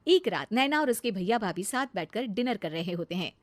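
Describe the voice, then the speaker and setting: A woman's voice: medium pitch, animated, fairly quick, no room sound. A woman narrating a scripted cartoon moral story as its storyteller.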